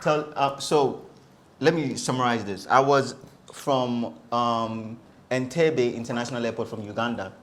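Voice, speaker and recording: A man's voice: mid-range voice, unhurried, a bit bright, slight background noise. A man who creates travel content, telling stories casually as a guest in a panel chat.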